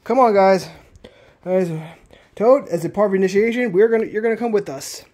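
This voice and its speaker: Young man, high voice, halting toy-show talk. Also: darkish tone. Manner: rambling, halting, many restarts. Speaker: puppeteer voicing all the plush characters. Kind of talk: improvised plush-toy cartoon.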